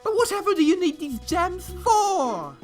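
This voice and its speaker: A woman plays a grand, conniving lady pony from a parody cartoon. The voice is high and swoops theatrically, with affected airs and faint music behind it. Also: sing-song, measured pace, heavily acted. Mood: haughty, sly. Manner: affected, dramatic, drawling grand phrases. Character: haughty, scheming ladylike pony mare, theatrical and posh. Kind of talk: fan parody cartoon dub.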